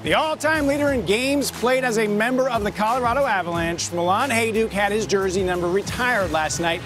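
A man reading the news as anchor in a TV studio with crisp, measured delivery. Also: sits high, music in the background.

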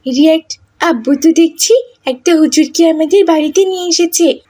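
Very high, anxious little sister voiced by a woman. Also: moderate pace, darkish tone. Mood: panicked. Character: anxious, teasing younger sister, a girl. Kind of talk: cartoon drama dialogue.

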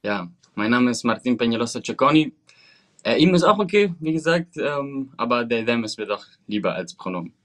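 A man's voice: medium pitch, big pitch swings, a little fast, fairly bright tone. A man in his twenties, from Venezuela and raised in Germany, answering as an actor guest over a video call, thoughtful and articulate with hesitant fillers. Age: 20s.

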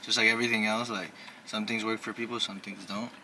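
A man's voice: on the low side, level tone, quick pace, bright, forceful tone. A man chatting casually with friends in informal, slangy speech. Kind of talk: casual group chat. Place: inside a car. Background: faint vehicle noise.

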